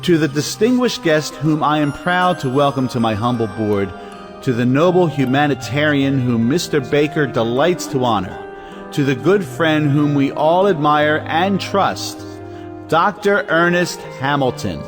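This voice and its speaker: An actor playing a proud, self-important gentleman host in an audio play, speaking in formal, pompous oratory. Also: on the high side, lively intonation, measured pace, over music. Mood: grandiose. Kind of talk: theatrical toast-making.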